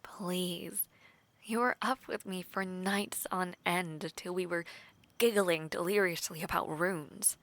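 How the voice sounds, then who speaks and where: Eaera, a gravelly bright woman voicing a young knight. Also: on the low side, steady tone, takes it slowly, dry recording. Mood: warm, reflective. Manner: soft, intimate, often whispery with light laughs and hesitant restarts. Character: young woman knight trainee, earnest and affectionate. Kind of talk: intimate audio roleplay.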